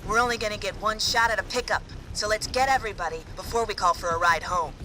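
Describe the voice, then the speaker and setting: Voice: mid-range voice, fast, bright, forceful tone, slight background noise, close to an everyday voice, poor audio. An actor playing a tough, determined supersoldier in a video game, with a clipped, resolute military delivery. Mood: urging. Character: tough, determined supersoldier leading rescued troops. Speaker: soldier.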